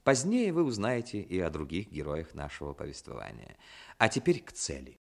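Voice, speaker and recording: Low-voiced, expressive male storyteller. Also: gravelly. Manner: measured literary storytelling. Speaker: narrator. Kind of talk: scripted narration for 1960s radio drama.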